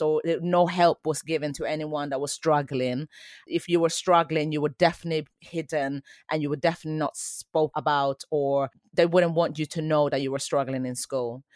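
A woman's voice: low-pitched, darkish tone, no echo. Woman in her 40s telling her story earnestly, thoughtful, very dry close sound. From Sweden. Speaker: dance teacher guest. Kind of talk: interview answers.

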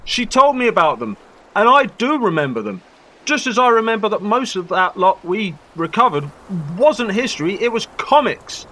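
A man's voice: sits high, very animated, moderate pace, bright, forceful tone, heavily acted. A man acting in an audio drama as a worried, suspicious old friend, probing and pointed in his questioning. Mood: accusing. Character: Colin, suspicious, worried male old friend confronting a companion.

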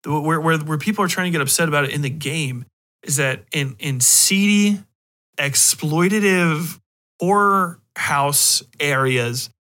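Guy podcaster kinda high sharp voice, rambly opinionated chat, swears a lot. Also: a little slow. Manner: profane, emphatic, rambling. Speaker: podcast host. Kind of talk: casual opinionated discussion.

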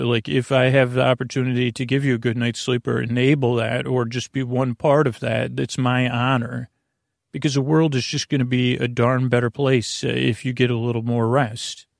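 A man with a creaky voice hosting a bedtime podcast in a meandering, halting, earnest monologue that keeps interrupting itself. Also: medium pitch, no room sound, studio quality. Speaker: podcast host.

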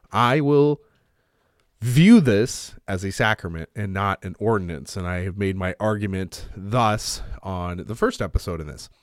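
A man's voice: medium pitch, dry recording, studio quality. A man hosting a podcast as a pastor, talking in a rambling way with many fillers while congested from a cold. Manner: rambling, with frequent uh fillers and restarts. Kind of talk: casual podcast talk on theology.

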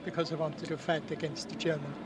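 Old austrian-born guy, 70s, halting and earnest, slightly high voice, mic kinda far off outside. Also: level tone. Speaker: war veteran interviewee. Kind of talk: outdoor interview, recounting memories. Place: outdoors at a public ceremony. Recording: distant mic, noisy outdoors.

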